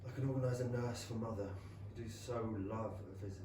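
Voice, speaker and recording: A man's voice: mid-range voice, steady tone, fast talker, distant mic, lightly acted. A man in a staged reading, playing a lonely, sardonic ageing character with a wistful, dry, theatrical delivery. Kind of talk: staged play reading, monologue. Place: small London theatre. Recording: echoey theatre from afar, faint noise.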